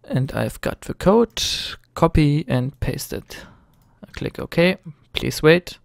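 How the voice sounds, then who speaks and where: A man's voice: on the high side, lively intonation, slow pace, vocal fry, no room sound, clean studio sound. Nervous young man with a German accent. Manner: nervous, hesitant, many fillers and restarts. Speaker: gaming tutorial host. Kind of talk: screen-recorded tutorial narration.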